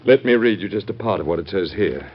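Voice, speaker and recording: A slightly muffled vintage radio recording of a government secretary. He is formal and deferential, a loyal adviser with a lowish, expressive voice. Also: fast talker, husky. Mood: upbeat, courteous. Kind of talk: old-time radio drama acting.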